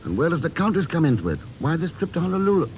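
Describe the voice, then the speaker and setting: An actor playing a cool, shrewd, dryly witty investigator in an old radio drama, measured and deliberate. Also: male voice, on the high side, big pitch swings, a little mellow, a little hiss or hum. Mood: pondering. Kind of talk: 1940s-50s American radio drama acting.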